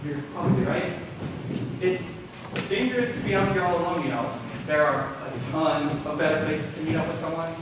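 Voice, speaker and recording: A man's voice: fairly high pitch, normal speed, close to an everyday voice. A male actor playing an awkward, curious teenage boy in an amateur stage play, tentative and questioning. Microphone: distant video camera. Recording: distant microphone, echoey noisy hall.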